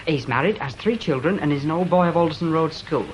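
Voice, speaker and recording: A man's voice: a little high, fast talker, lightly acted. A man playing a naive young cub reporter in a radio drama, stilted, deferential and pompously literal. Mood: earnest reciting. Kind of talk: British radio drama acting. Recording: dull, faintly noisy radio recording.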